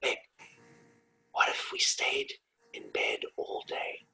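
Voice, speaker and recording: A man playing a needy, childlike rock-star husband, pestering in a hushed, wheedling, repetitive way in a comic script reading. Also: a little high, measured pace. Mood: eager wheedling. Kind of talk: comic script read aloud.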